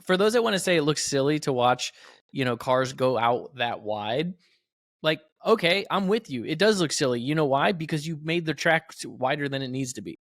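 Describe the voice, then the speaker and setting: A man's voice: on the high side, expressive, normal speed, a bit bright, dry recording. Conversational American man, dry podcast sound. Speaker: podcast co-host and professional racing driver. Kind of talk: casual motorsport podcast discussion.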